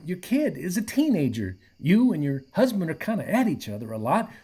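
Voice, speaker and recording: An actor playing a mellow, apologetic aging hippie in a radio play, with hesitant, slangy speech. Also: male voice, fairly high pitch, huge pitch swings, brisk, breathy edge, exaggerated voice. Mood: gentle, sincere. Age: middle-aged.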